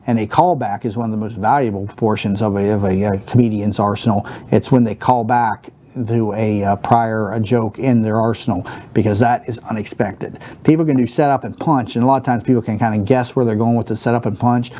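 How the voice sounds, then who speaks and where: Monotone man with a dark voice. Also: on the low side, a little fast, hardly any pauses. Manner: rambling with many fillers and restarts. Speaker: speaker teaching stand-up basics. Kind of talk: unscripted talk to camera. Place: studio set with a wooden backdrop, seated at a desk.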